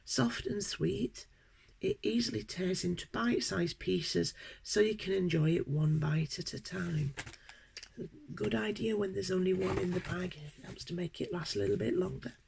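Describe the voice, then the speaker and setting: A British woman with a cold, sounding croaky and sniffly, chatting hesitantly through an unboxing with many ums. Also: medium pitch, lively intonation, moderate pace, a little mellow, a little hiss or hum. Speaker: unboxing vlogger. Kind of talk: casual unboxing chat.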